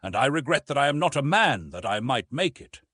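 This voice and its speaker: A man's voice: medium pitch, big pitch swings, fairly quick, pushed and bright, slightly rough, dry recording, studio quality, heavily acted. A man voicing an emotional, proud and easily wounded young woman in an audiobook, with an impassioned, haughty manner. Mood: icy.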